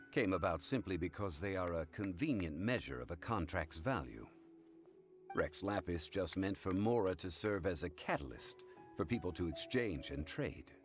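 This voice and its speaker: Unruffled scholarly gentleman: very low voice, expressive melody, formal phrasing, game dialogue. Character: calm, learned, courteous gentleman of refined wisdom. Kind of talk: English game voice acting.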